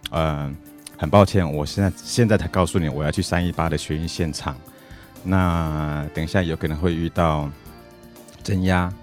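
A man's voice: a bass voice, steady tone, moderate pace, faint music behind. A middle-aged man with a Taiwanese Mandarin accent, talking casually and earnestly as a guest on a radio show.

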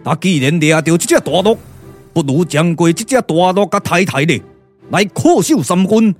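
A man's voice: high voice, expressive, faint music behind. A man playing a proud, hot-tempered old immortal sage in a Taiwanese puppet radio drama, declaiming theatrically with a Taiwanese accent. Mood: confident. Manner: theatrical, boastful, drawn-out declamation.

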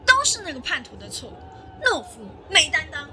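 A woman's voice: high voice, very animated, moderate pace, sharp tone, slight background noise, exaggerated voice. A woman playing a spiteful, gossiping villager in an audio drama. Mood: scornful.

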